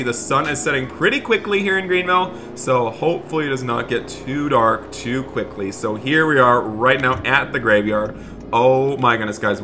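A man hosting a roleplay gaming video, narrating excitedly and speaking straight to viewers in an animated, exclamatory way. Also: mid-range voice, background noise. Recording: music behind the voice. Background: background music.

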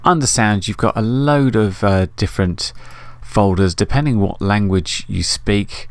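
A man's voice: on the low side, a little slow, dry recording. A middle-aged man narrating a casual tutorial in an informal, explanatory, conversational way. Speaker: host of an RC radio tutorial.

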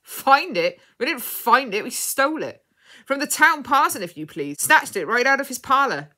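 Woman putting on a loud, brash pirate man. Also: high-pitched, big pitch swings, normal speed, voice pushed up, full character voice. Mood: boastful. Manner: loud, blustering. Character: brash, self-satisfied male pirate, rough and bossy.

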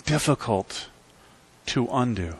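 A middle-aged man preaching in a church, speaking from a lectern with emphatic, rhythmic delivery that swings between loud peaks and quiet drops. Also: animated, takes it slowly, slight background noise. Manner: emphatic preaching with sweeping pitch and volume swings. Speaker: pastor. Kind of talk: sermon. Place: church sanctuary.

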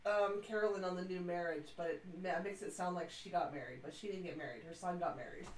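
A woman chatting casually as an off-camera co-host, quiet and away from the microphone. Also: lowish voice, quick pace, low-quality recording. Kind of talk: casual chat. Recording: quiet, off-mic from further away.

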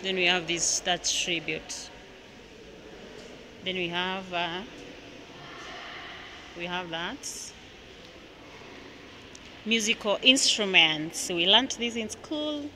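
Kenyan woman, young vlogger, easygoing museum walkthrough, bright tone, slow delivery, phone mic. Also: on the low side, a little hiss or hum, poor audio. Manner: slow, chatty, pointing out exhibits. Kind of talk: casual walking tour commentary. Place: indoor museum halls. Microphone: handheld phone camera.

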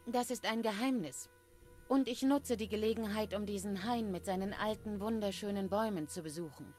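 Casting: woman as proud dryad guardian of the forest, solemn and measured fantasy-game delivery, music underneath. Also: mid-range voice, a little mellow, natural voice. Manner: measured, solemn, formal phrasing. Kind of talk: scripted game dialogue.

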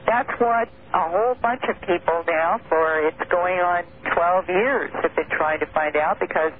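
A woman guest on a call-in radio show, explaining at length in a measured, detailed way with filler pauses. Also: lowish voice. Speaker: guest researcher. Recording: tinny phone line with faint hiss.

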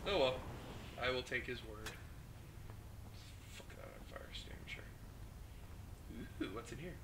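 A young man with the flu commentates on a game he is playing, chatting casually, swearing and reacting out loud to what happens on screen. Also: mid-range voice, expressive, unhurried. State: sick with the flu, hoarse. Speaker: gaming host. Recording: noisy home mic recording.

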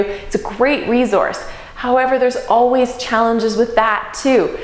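Upbeat woman in her 30s vlogging from an empty bedroom, voice bouncing off bare walls. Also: medium pitch. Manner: upbeat, chatty, practical. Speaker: real estate investor vlogger. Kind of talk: casual talk to camera. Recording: echoey empty room.

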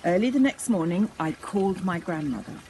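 A woman's voice: lowish voice, animated, a little fast, dark tone, low-quality recording. A woman in her sixties reading a children's story aloud outdoors in a garden. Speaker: storybook reader. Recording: faint outdoor background noise.

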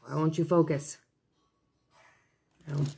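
A woman with a hoarse, failing voice chatting casually at her dining table as she unboxes craft kits, rambling and breaking off mid-thought. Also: sits low, flat delivery, slow, darkish tone, a little hiss or hum. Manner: rambling, chatty, self-interrupting. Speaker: craft vlogger. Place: home dining room, seated at a table.

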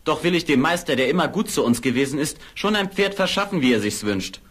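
An actor playing a plucky, good-natured young miller's lad in a fairy tale audio play. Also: male voice, on the high side, lively intonation, fast talker, fairly bright tone, slightly rough. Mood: defiant.

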